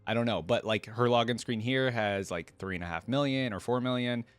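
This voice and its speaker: A man's voice: mid-range voice, a little fast, smooth, no echo. Man giving casual reactions, close dry sound. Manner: informal, exclamatory, slangy. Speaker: reaction video host.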